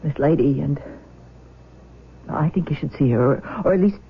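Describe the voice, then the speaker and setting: An actress playing a gently persuasive middle-aged mother in a radio drama, with an American accent and a tentative, halting delivery. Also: deep voice, expressive, slightly rough, full character voice. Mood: tentative, urging. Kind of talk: 1970s radio drama acting. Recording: thin, phone-like, noisy vintage radio recording.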